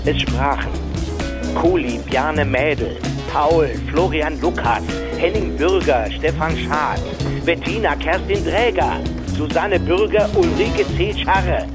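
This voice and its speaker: A man announces the credits of a radio drama. His voice is high and his pace slow and measured. Loud music plays underneath.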